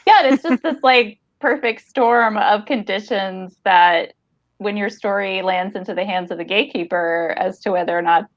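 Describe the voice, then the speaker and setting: A woman's voice: mid-range voice, normal speed, no echo, lo-fi sound. A woman talking casually and conversationally as a podcast co-host discussing fiction.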